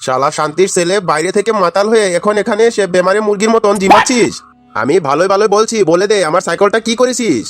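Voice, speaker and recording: An irate middle-aged cartoon dad, acted out by a man, scolds and blusters at speed. The voice is pitched high for a man, with faint music behind it. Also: expressive, fast, no room sound, studio quality. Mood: angry scolding. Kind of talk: amateur comedy cartoon dialogue.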